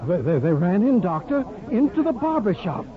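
An actor playing a loyal, excitable, deferential barbershop helper who stammers, in a 1950s radio drama. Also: male voice, high-pitched, moderate pace. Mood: shaken, frantic. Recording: muffled radio recording, crowd noise behind.

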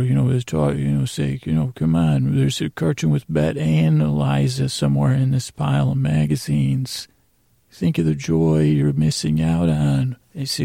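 Gravelly, mellow man rambling at bedtime. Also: medium pitch, no room sound, studio quality. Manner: meandering, halting, trailing off and circling back. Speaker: podcast host.

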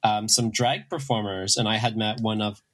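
A man with a raspy, slightly under-the-weather voice, telling stories casually as a guest, with lots of filler "like" and quoted dialogue. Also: fairly low pitch, moderate pace. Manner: animated storyteller with frequent "like" and quoting. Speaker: guest, drag performer. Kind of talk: casual conversational interview chat.

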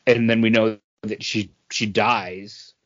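A man's voice: on the low side, fairly even intonation, slow, dry recording. A man co-hosting a podcast, giving his take on films in casual conversation. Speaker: podcast co-host. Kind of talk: casual movie review chat. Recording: dull, lo-fi, dry close sound.

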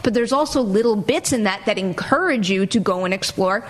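A woman's voice: mid-range voice, animated, fairly quick. A woman hosting a movie news panel, talking casually and analytically in long, flowing explanations. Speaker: host of a movie news talk show.

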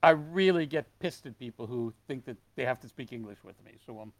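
A man from Detroit chatting casually as a guest, telling stories with animated put-on voices. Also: brisk, dry recording. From Detroit, USA. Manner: animated storyteller, acts out customers in high voices. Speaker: guest, store owner. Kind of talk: casual chat.

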